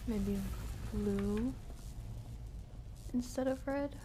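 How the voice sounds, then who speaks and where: A woman's voice: a little high, very slow, background noise. A woman giving casual, reactive live gameplay commentary, talking to herself and her viewers. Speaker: game streamer.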